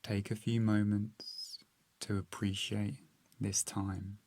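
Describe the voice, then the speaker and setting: Male meditation guide: calm, gentle, very slow and flat in pitch, long pauses.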